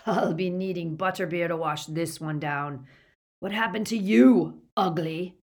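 A woman voicing a gloating, savage male werewolf villain in an audiobook, with a menacing, rasping growl. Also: sits low, fairly even intonation, normal speed, close to an everyday voice. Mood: gloating, predatory. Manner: menacing, snarling.

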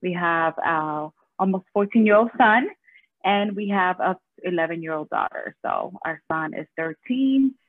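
A woman's voice: fairly low pitch, animated, a little slow, dry recording. A woman in her thirties from Miami, chatting as a guest in an upbeat, casual way with many fillers. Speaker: guest, community co-founder. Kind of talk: casual interview chat. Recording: tinny phone-like line.

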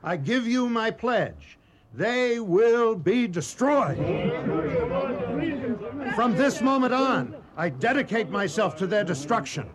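An actor playing a forceful young colonial railroad engineer in a radio drama, declaiming with authority. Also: male voice, sits high, big pitch swings, takes it slowly, some chatter behind, full character voice. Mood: defiant, commanding.

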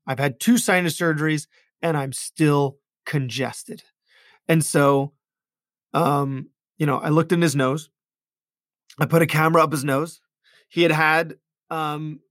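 A man's voice: fairly high pitch, a bit bright, no echo, studio quality. A man speaking as an ear, nose and throat doctor, talking casually about patient cases and often repeating words as he thinks aloud. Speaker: ENT physician.

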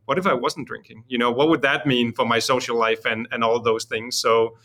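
Male founder from Denmark, interview guest, mid-pitched voice, informal and explanatory. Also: fairly even intonation, a little fast, fairly bright tone. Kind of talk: conversational interview.

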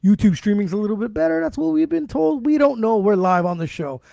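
A man's voice: high voice, expressive, quick pace, creaky, mellow, no echo, studio quality. A man in his forties from Canada, hosting a casual podcast in a loose, slangy, chatty way. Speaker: podcast host.

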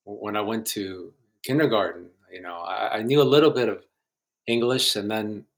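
A young man from Guatemala, a filmmaker guest on a podcast, talking in a halting, hesitant way with many restarts. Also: medium pitch. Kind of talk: casual podcast interview.

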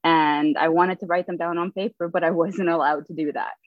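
A woman in her twenties, a guest author, telling her story in a relaxed way with frequent fillers and light laughs. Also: on the low side, fairly quick, no echo. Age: 20s. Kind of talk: casual storytelling. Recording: dull, lo-fi dry audio.

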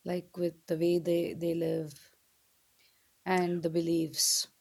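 A woman's voice: fairly low pitch, monotone, takes it slowly, creaky, darkish tone. A woman from India co-hosting a podcast in casual conversation, choosing her words tentatively and carefully. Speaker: podcast co-host.

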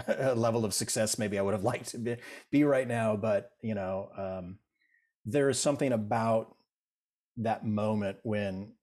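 A man's voice: fairly low pitch, unhurried, a little mellow. A middle-aged man, a guest in an interview, telling stories in a rambling, self-deprecating way with many restarts. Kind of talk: casual interview chat.

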